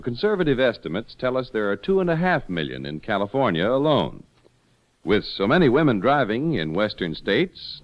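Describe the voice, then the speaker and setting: A male radio announcer reading ads and credits in a smooth, salesmanlike manner. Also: on the low side. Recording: dull old radio broadcast with music.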